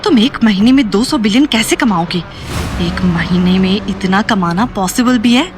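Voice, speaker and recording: Actress as worried young female companion, audio drama. Questioning, anxious, English-sprinkled speech, average pitch, light music bed. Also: animated, normal speed, lightly acted. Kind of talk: Hindi audio drama acting. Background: background music.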